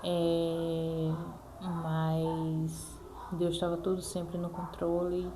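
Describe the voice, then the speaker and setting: A woman's voice: fairly low pitch, completely flat delivery, very slow, noisy background. A Brazilian woman talking casually and earnestly to viewers as a mother, repeating herself and adding frequent check-in tags. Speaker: mother sharing her experience in a vlog. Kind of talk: casual personal talk to viewers.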